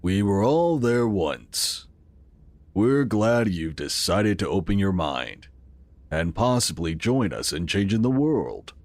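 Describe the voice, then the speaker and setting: An actor playing the earnest, calm founder of a futuristic city in a sci-fi audio drama, explaining things in a measured, formal way. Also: male voice, deep voice, dry recording, heavily acted. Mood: solemn, earnest.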